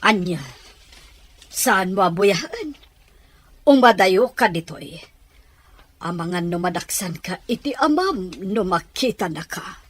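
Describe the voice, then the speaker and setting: A woman plays a protective, stern mother in a drama. Her husky voice moves in animated swings as she scolds with anxious urgency. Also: medium pitch, slow, pauses often, no room sound. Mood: anxious, scolding.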